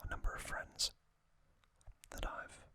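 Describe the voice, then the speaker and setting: An ASMR host narrating in a breathy, unvoiced whisper, hushed and deliberate, with frequent pauses. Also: no echo. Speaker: host of an ASMR trivia channel.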